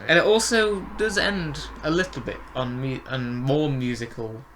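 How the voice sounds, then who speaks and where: Bright-voiced man in casual review chat. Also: mid-range voice, moderate pace, a little hiss or hum. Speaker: podcast reviewer.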